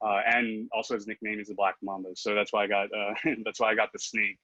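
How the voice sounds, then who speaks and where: A man in his thirties talks as a podcast guest, full of fillers and restarts. His voice is bright and fairly low, with a flat, even delivery. The audio is dry and slightly muffled. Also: quick pace, no room sound. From Philippines, raised in Los Angeles. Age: 30s. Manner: hesitant, many fillers and restarts. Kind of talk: casual interview chat.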